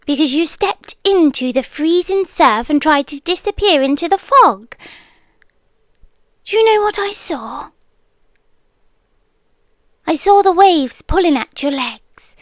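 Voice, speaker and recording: A woman's voice: high-pitched, dry recording. A British woman playing a centuries-old possessive siren girlfriend in an ASMR roleplay, tender yet menacing, often sinking into whispers. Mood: hurt, accusing. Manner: slow, hushed, dropping often into whispers. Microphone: close, dry microphone.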